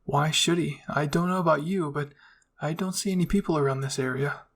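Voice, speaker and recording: A man's voice: on the high side, a little fast, fairly bright tone. A man voicing a curt, stubborn young woman soldier in a fan fiction story, cold and cutting. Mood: scornful.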